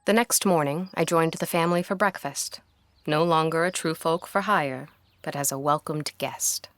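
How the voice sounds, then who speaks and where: An actress playing a reflective young woman who narrates her gothic family tale in a measured, formal, literary way. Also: low-pitched, fairly bright tone, no echo. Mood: solemn. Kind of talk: scripted audio drama narration.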